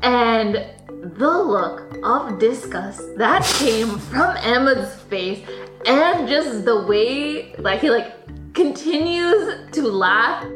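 A woman's voice: on the high side, animated, extremely slow. A chatty woman recounting a story casually, with lots of ums and likes. Her voice sounds roomy, with faint music behind it. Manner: chatty, with fillers and laughs. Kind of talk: casual recollection.

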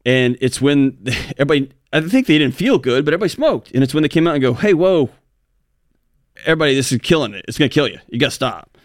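A man's voice: mid-range voice, big pitch swings, fast, no room sound, studio quality. A man co-hosting a talk show, speaking earnestly in casual conversation with frequent restarts. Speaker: co-host.

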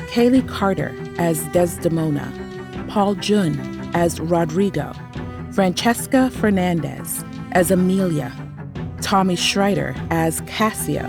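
A woman reading scripted podcast credits as an announcer. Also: on the low side. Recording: music bed behind the voice. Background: music.

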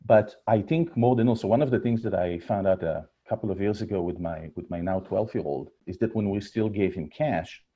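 Low, dark-voiced Israeli man. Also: brisk, few pauses, breathy edge, dull audio. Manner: storytelling, many fillers and restarts. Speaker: guest, startup founder. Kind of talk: podcast interview.

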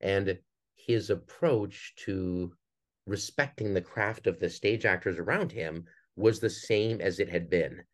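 Male interview guest, theater director type. Low register, thoughtful phrasing, conversational. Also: a little slow.

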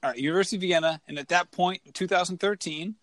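A man's voice: high-pitched, very fast, a bit bright, no echo. A man hosting a running podcast, talking enthusiastically and rambling a little as he introduces and interviews his guest. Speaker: podcast host. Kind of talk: casual interview chat.

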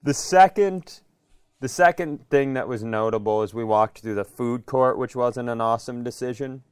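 American man, smooth clear voice, dry close sound, casual comic storytelling, podcast host. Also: mid-range voice, normal speed, no room sound.

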